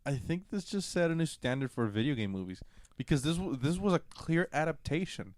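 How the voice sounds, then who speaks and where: Male co-host, slightly high voice, dry close recording, informal movie banter with the odd swear word. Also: very animated, brisk, darkish tone, dry recording. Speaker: podcast co-host reviewing films.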